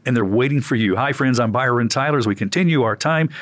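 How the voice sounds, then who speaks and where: A man speaks as a radio host in a friendly, conversational interview style. His voice sits at a medium pitch, and the sound is dry with very little room around it. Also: fast talker, fairly bright tone, dry recording. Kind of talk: friendly broadcast interview chat.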